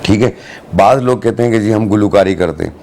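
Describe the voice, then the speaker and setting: A man, a hakeem on a TV morning show, calmly giving remedies step by step. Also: fairly low pitch, a little fast, gravelly, a little hiss or hum. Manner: calm, step-by-step instructive. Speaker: hakeem giving herbal advice. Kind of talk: studio chat, giving remedies. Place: TV studio.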